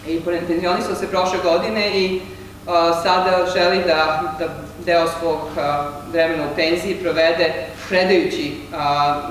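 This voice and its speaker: A man introducing a guest lecturer at a seminar, halting and full of fillers. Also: on the high side, fairly even intonation, fairly bright tone. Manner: halting, many fillers and restarts. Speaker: seminar organizer introducing the speaker. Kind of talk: formal introduction. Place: large lecture hall. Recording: echoey hall from far off with noise.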